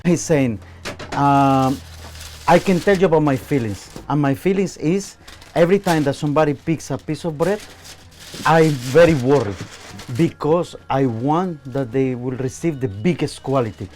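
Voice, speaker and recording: A man's voice: fairly high pitch, normal speed, darkish tone, slight background noise. A man, a chef guiding a visitor on location, speaking earnestly with restarts. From Colombia. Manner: earnest, with frequent restarts and fillers. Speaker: chef and local guide. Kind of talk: on-location interview, explaining. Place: bakery, on location.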